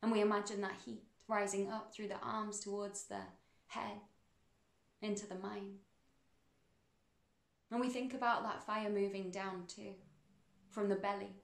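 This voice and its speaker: A woman guiding a meditation in a soothing, unhurried manner, with measured phrases and pauses between them. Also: medium pitch, gravelly, echoey room. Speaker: meditation guide. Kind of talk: guided meditation narration.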